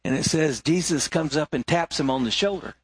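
A middle-aged male pastor preaching a sermon, swinging between rising emphasis and hushed, low moments. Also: a little fast, husky, no room sound.

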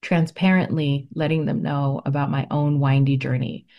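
A middle-aged woman and native Spanish speaker giving reflective interview answers as an educator, speaking in an earnest, deliberate, articulate way. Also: low voice, steady tone, creaky, highs cut off. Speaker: ethnic studies educator, interview guest.

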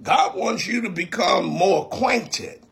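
Bright, high-voiced man preaching. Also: animated, slow, gravelly. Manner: emphatic, repetitive preacher cadence. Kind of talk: preaching in conversation.

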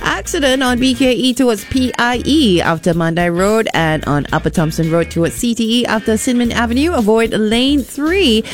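A woman presenting on the radio and reading a traffic report in a brisk, friendly way. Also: on the high side, lively intonation, soft background music. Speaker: radio host.

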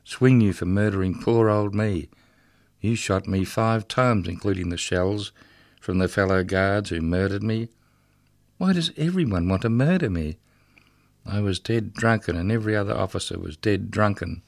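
A man's voice: lowish voice, moderate pace, gravelly, no room sound. A man reading as a bitter condemned prisoner. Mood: taunting. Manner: rhythmic, sardonic recitation. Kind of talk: poetry reading.

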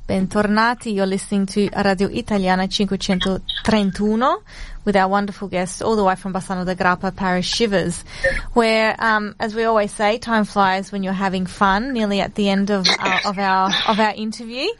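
A woman's voice: mid-range voice, steady tone, moderate pace. A woman hosting a radio interview, asking questions and affirming answers often. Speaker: radio host.

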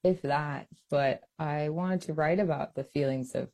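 A young adult woman from Hawaii, an author, chatting in an interview; she is animated, laughs often and uses lots of fillers. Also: low voice, measured pace. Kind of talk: interview conversation.

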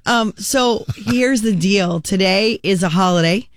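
A woman's voice: medium pitch, measured pace, no echo, clean studio sound. A woman co-hosting a morning radio show, chatting casually and reading celebrity news with quips. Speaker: radio co-host. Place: radio studio. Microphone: studio microphone.

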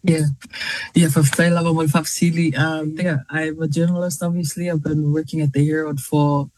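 A woman's voice: deep voice, flat delivery, moderate pace, darkish tone, poor audio. A woman in her thirties with a New Zealand accent, a journalist chatting as a guest on a talk show, full of fillers and easy laughs. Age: 30s. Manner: chatty, laughs often, many fillers and restarts. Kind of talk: casual interview chat.

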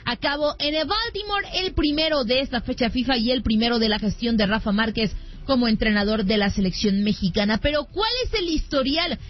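A woman's voice: on the high side, brisk. A woman presenting sports news in a formal, scripted delivery. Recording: dull lo-fi sound with faint music.

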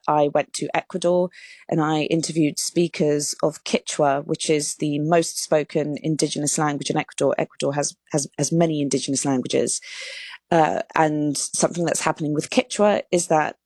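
Woman author explaining, low voice. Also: steady tone, normal speed, darkish tone, dry recording. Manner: explanatory, with repeated words and restarts. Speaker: author guest. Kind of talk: live interview on a phone line.

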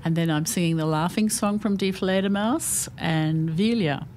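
A woman with an Australian accent, a singer chatting as a guest in a radio interview, lively and quick to laugh. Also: fairly low pitch, slight background noise.

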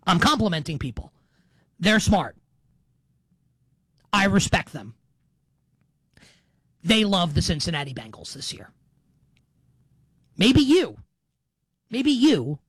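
A man's voice: high-pitched, no room sound. Middle-aged male sports talk host, dry sound. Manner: conversational, digressive, repeats and qualifies himself for emphasis. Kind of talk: casual sports talk monologue.

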